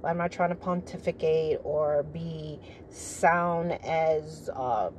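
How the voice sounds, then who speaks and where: A middle-aged woman, a retired soldier, with a naturally deep, rich voice, speaking in a measured, formal way, as if giving a briefing. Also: fairly even intonation, a little mellow, a little hiss or hum. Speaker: retired military vlogger. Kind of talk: opinionated monologue to camera.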